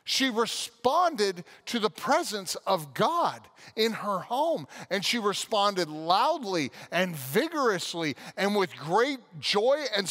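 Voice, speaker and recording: A man in his thirties preaches from a church stage, talking through a headset mic in a fairly high voice. He is animated and casual, and now and then he bursts into loud acted-out quotes. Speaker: pastor. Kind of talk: sermon.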